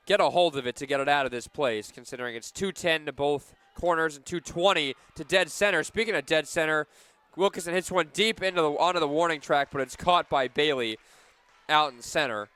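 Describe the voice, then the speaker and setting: A man with a fairly high, very smooth voice works as a radio play-by-play announcer at a softball game. He is outdoors yet sounds dry and close, and he moves between conversational description and punchy calls. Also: no echo. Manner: animated, punchy calls on big plays, conversational between them.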